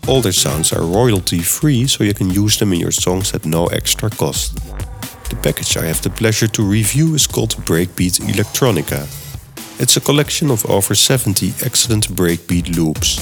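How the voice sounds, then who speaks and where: Middle-aged podcast host with a Dutch accent and a very deep voice, steady and read-from-script, faint music underneath. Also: male voice, moderate pace, vocal fry. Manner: steady, reads from a script, explains step by step. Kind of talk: scripted podcast narration and software tutorial.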